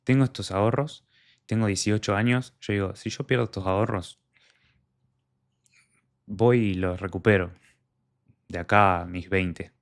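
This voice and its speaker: Young Argentine man, deep voice, telling his story. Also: fairly even intonation, unhurried, gravelly, pauses often. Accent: Argentine Spanish. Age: young adult. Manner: candid, conversational, quotes his own past thoughts. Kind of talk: personal storytelling monologue.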